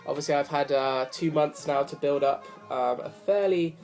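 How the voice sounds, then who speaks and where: A man's voice: on the high side, normal speed, gravelly. A young man with a cold talks casually about his records, rambling and apologetic with lots of fillers. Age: young adult. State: hoarse from a cold. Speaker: vinyl collector vlogger. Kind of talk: casual chat to camera. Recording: faint music behind the voice. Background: jazz record playing softly.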